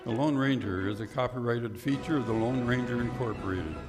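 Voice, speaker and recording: A man's voice: medium pitch, brisk. Man, announcer, scripted radio-play narration, mid-range voice, prominent music behind. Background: loud music.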